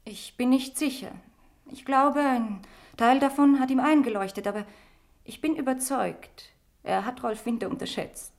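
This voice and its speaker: A woman's voice: sits high, moderate pace. An actress playing a frightened young woman with an addiction, confessing earnestly in in a radio crime drama. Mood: anxious, urgent.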